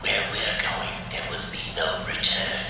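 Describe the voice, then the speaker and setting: An actor playing a cold, grandiose villain in a video game, scheming for power with slow, theatrical menace and a gravelly voice. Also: male voice, reverberant, music in the background, close to an everyday voice. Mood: hushed welcome.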